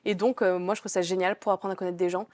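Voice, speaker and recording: A woman's voice: lowish voice, level tone, fast talker, darkish tone, no echo. A young woman student answering interview questions casually, with lots of fillers and restarts. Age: young adult. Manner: chatty, many fillers and restarts. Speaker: business school student.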